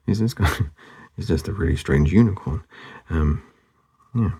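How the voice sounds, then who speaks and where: A man narrating casually as he draws, laid-back and murmuring, with a husky, gravelly voice that often trails off. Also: sits low, measured pace, mellow. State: losing his voice, hoarse. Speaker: artist hosting a drawing channel. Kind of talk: casual narration while drawing.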